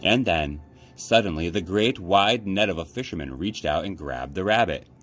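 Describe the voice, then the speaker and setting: A man reading a children's novel aloud as a library storyteller, with measured, dramatic phrasing. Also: sits low, animated, fairly bright tone. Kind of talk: children's audiobook-style read-aloud. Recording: clear background noise.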